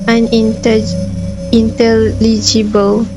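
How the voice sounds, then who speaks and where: A woman's voice: fairly high pitch, monotone, extremely slow, poor audio. A woman doing gameplay commentary, switching between casual reactions and reading story text aloud, with game music behind her. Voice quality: frequent throat clearing. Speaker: gameplay streamer. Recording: background music under voice.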